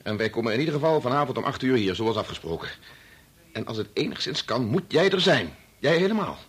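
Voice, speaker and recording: A man's voice: mid-range voice, very animated, quick pace, fairly bright tone, slightly rough, exaggerated voice. A Dutch actor from the Netherlands playing an earnest, worn-out doctor and young father in a radio play, stammering when pressed. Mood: agitated, accusing.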